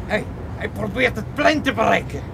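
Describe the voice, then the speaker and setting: Urgent, high-pitched gravelly policeman, shouting. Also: lively intonation, normal speed. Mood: tense. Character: alarmed police officer Bucel shouting warnings in a gunfight. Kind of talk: radio play acting. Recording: noisy old radio drama recording.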